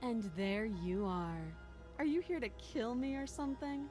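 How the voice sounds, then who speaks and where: A cool, taunting chained princess. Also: female voice, a little high, expressive, a little slow, darkish tone, faint music behind. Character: chained princess, calm, menacing, manipulative young woman.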